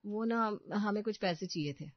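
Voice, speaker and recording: A woman's voice: medium pitch, normal speed, no echo, dull audio, close to an everyday voice. A woman playing a bossy, haughty housewife in a story, scolding and plain-spoken. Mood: pleading, complaining. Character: bossy middle-class housewife, skeptical employer of a maid.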